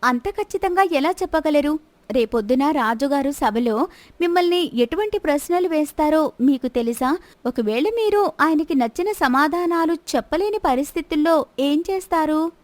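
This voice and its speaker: A woman's voice: sits high, lively intonation, normal speed, dry recording. A woman voicing a bold young princess in disguise, asking probing, teasing questions in a story. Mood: polite, probing.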